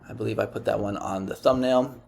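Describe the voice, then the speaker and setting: A man's voice: fairly low pitch, fairly even intonation, brisk, vocal fry, darkish tone, a little hiss or hum. A man with a Dominican accent hosting a casual screen-share tutorial, chatty and rambling with many ums and restarts. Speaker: YouTube host teaching print-on-demand design.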